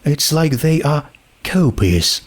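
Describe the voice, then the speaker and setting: A man's voice: on the high side, very animated, slow pace, slightly rough, voice pushed up, full character voice. A man voicing an earnest, troubled teenage girl with a hesitant, searching manner in a story reading. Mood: frustrated, uneasy. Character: troubled, earnest teenage girl doubting her world is real. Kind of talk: fan fiction character reading.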